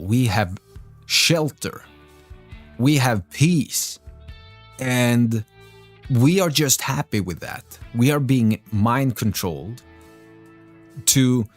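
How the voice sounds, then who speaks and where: Male live stream host, English speaker, animated pitch swings, casual political commentary, earnest, repetitive phrasing, music behind. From Greece and Sweden.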